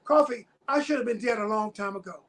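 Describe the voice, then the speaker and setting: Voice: medium pitch, fast talker, a bit bright. A guest in their fifties giving personal testimony in a casual interview, speaking earnestly and repeating phrases for emphasis. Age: 50s.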